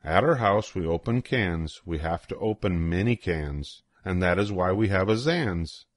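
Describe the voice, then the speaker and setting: A man's voice: deep voice, expressive, unhurried, no echo, clean studio sound. An older man reading a children's rhyming picture book aloud in a playful, sing-song way with swooping emphasis. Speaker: storybook reader.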